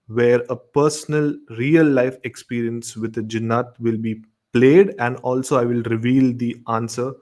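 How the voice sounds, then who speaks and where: A man's voice: mid-range voice, darkish tone. A man with an Indian accent informally hosting a horror show and telling stories, often repeating words and phrases. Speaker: host of a horror radio show.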